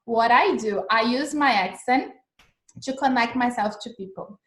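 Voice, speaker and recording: Woman, young, Brazilian accent, upbeat English teacher, a little high-pitched, roomy echo on a video call. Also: unhurried. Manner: chatty and encouraging, with frequent "okay?" checks. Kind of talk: livestreamed English lesson. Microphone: video call recording.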